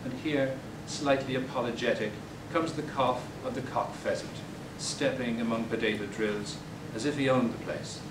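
An older man giving a poetry reading in a bookstore, hesitant and halting between poems, recorded from a distance. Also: steady tone. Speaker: poet. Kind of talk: poetry reading with spoken asides. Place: bookstore, indoors, at a podium. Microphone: distant camera mic. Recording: echoey room, distant mic, background rumble.

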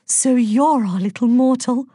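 A flirtatious, mischievous goddess of love from a storybook, voiced by a woman narrator. She trills sweetly in a high, sing-song voice, with a dry, close sound. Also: a little slow, dark tone, husky, no room sound. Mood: sweet, adoring.

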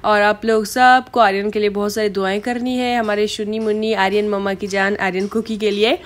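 A woman's voice: medium pitch, little pitch movement, brisk. A woman in her thirties from Pakistan, chatting to viewers in a casual family vlog. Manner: chatty, addresses viewers as guys. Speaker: vlogger. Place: busy restaurant and party hall, indoors. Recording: loud crowd chatter behind.

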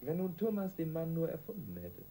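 A man's voice: on the high side, very animated, a little fast, warm tone, a little hiss or hum. A man playing an earnest young detective in a radio crime drama, doubtful and hesitant but persistent. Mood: questioning, insistent. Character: earnest, doubting young male detective, aged twenty-two.

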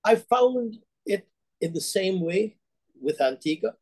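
A man's voice: sits high, sing-song, slow, a little mellow, no room sound. An older man from Guyana, a bank chief, telling his story on a video call with hesitant restarts and fillers. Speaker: bank CEO guest. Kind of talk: remote interview, reflective storytelling. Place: home room, indoors. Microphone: webcam on video call.

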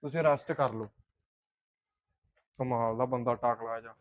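A man's voice: mid-range voice, fairly even intonation, unhurried, no room sound, highs cut off, lightly acted. A man playing a loyal, indignant house servant in a short film, advising earnestly with a Punjabi accent. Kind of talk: naturalistic short film dialogue.

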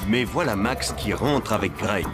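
A man narrating a children's cartoon story in an expressive, scripted storytelling manner. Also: on the low side, unhurried, over loud music.

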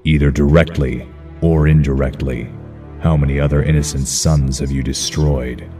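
A man playing a grim masked vigilante, narrating a noir comic drama in a terse, deadpan way. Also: rumbling low, normal speed, faint music behind. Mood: grim, brooding.

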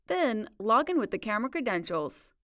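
A woman narrating a scripted software tutorial in a measured, instructional way. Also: fairly high pitch, big pitch swings, no room sound, studio quality. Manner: clear, measured instructional delivery. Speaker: support video narrator.

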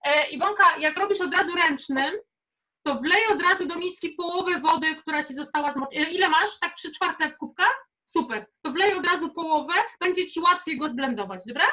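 A woman's voice: very high-pitched, moderate pace, pushed and bright. A young woman leading a casual live cooking workshop in a chatty, informal, encouraging way. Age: young adult. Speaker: cooking instructor. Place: home kitchen, indoors.

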